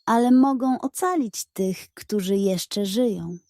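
Woman as a proud, steady tiger pirate captain. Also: mid-range voice, slow pace, no room sound, studio quality. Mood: calm, resolute. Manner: firm, composed. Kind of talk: children's audio story.

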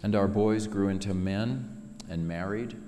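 Slow, low-voiced man reciting in a hall. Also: mellow. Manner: measured, dramatic pauses between short phrases. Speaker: author reading own work. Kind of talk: solemn poetry recitation. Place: large synagogue sanctuary, indoors. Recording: long reverberant hall, music behind.

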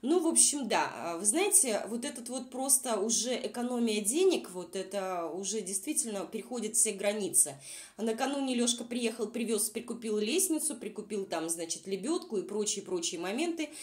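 Female vlog commentator, mid-pitched but very animated, under the weather, mocking and exasperated, informal. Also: moderate pace. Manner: sarcastic, exasperated. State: unwell, says voice is nearly gone.

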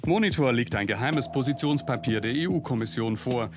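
A man's voice: medium pitch, expressive, normal speed. A man reading a scripted investigative news report as a voice-over, with pointed, ironic emphasis. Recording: narration over loud music bed.

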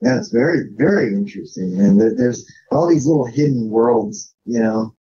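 A man's voice: medium pitch, big pitch swings, moderate pace, creaky, dark tone, husky. A man chatting casually as a podcast guest, agreeable and rambling, with folksy slang, on dull lo-fi audio. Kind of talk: casual chat.

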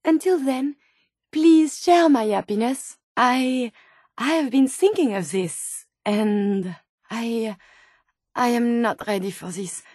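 A high, closely recorded female voice with a French accent, an earnest video game medical robot who is learning to be human. She speaks in formal, carefully chosen words, with open curiosity and tender feeling. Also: slow pace, no echo, studio quality. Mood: tender, hesitant. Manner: precise, formal, expressive diction. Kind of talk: video game character dialogue.

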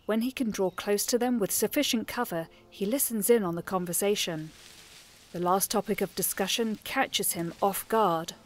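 A woman's voice: medium pitch, moderate pace. A woman narrating a scripted fantasy audio drama.

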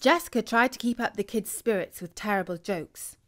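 A woman narrates a scripted story for children. Her voice sits at a medium pitch. She reads expressively, as a bedtime storyteller who acts out what the characters say. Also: moderate pace, a bit bright.

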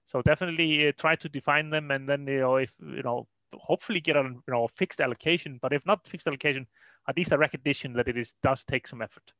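A man's voice: medium pitch, fast, dry recording. A man with an Icelandic accent giving an informal, explanatory technical presentation at an online meetup, often saying "you know". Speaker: presenter, data consultant. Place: indoors on a video call. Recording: dull lo-fi online call audio.

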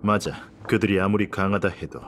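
A man's voice: deep voice, steady tone, a little fast, faint music behind, close to an everyday voice. A male actor playing a haughty, condescending nobleman in a video game dub, speaking in a pompous, formal aristocratic way. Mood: proud, composed. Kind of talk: dubbed video game dialogue.

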